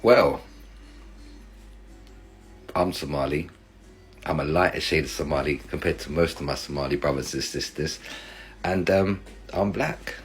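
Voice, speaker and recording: East African man, extremely low voice, earnest tone. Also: unhurried, long pauses, faint music behind. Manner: earnest, encouraging. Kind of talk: casual reaction video.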